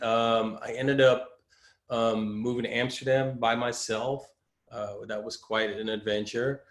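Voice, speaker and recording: A man from the Netherlands talks through his career in a loose, rambling way, full of ums and restarts. His voice sits fairly low. The video-call audio carries a noticeable room echo. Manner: rambling, many fillers and restarts. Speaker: creative director. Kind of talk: casual interview storytelling.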